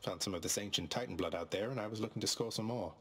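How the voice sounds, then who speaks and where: An actor playing a wry, determined young underworld prince in a video game, dry and polite in manner. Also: male voice, lowish voice, quick pace, husky. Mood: casual. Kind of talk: scripted video game voice acting.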